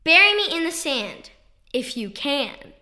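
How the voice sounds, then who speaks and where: Bright, high-voiced woman reading children's poems. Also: very animated, measured pace. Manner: playful, sing-song, emphatic. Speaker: children's poetry author and reader. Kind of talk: expressive read-aloud of children's poems.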